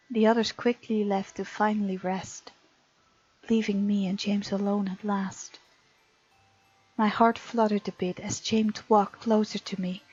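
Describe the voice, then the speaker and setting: A woman hosting a game playthrough, reading visual novel narration aloud and adding her own commentary. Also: medium pitch. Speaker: game let's-play host. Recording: dull sound, music behind. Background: game music.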